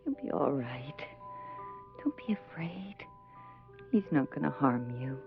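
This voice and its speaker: A woman's voice: rumbling low, lively intonation, unhurried, vocal fry, over music, heavily acted. A woman playing a possessed wife in a horror radio play, menacing and mocking, sliding into whispers and wails. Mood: menacing, hushed. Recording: muffled lo-fi radio drama recording.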